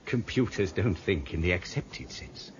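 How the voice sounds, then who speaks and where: A man's voice: low voice, expressive, measured pace. An actor playing a fussy, grumbling old British man who rambles and explains pedantically in a radio drama. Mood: pedantic, explaining. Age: older. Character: fussy, grumbling old man, former trainee computer operator. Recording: dull lo-fi radio recording with hiss.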